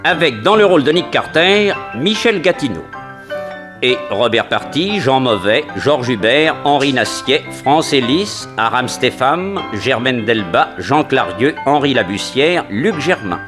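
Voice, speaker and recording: A man's voice: medium pitch, expressive, unhurried. A man reading radio serial credits as an announcer, in a formal, declamatory manner. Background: music.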